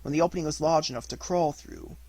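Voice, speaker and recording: A man giving an expressive, deliberate amateur audiobook reading of a classic detective story, as scripted narration. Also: a little high, dry recording.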